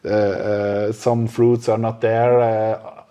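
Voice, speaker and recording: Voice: medium pitch, level tone, slow, mellow, smooth. A podcast host in casual conversation, speaking in loose, hesitant phrases and often breaking off to rephrase.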